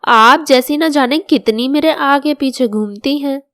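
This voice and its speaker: A woman's voice: high-pitched, unhurried, fairly bright tone, dry recording, clean studio sound. A woman voicing a vain, insolent young daughter-in-law in a family moral story, haughty and cheeky.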